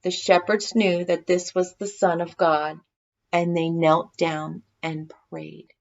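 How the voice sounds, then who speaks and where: A woman storybook reader with a fairly low voice, narrating expressively for children. The recording is a little dull and lo-fi. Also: little pitch movement, takes it slowly, vocal fry, a little mellow.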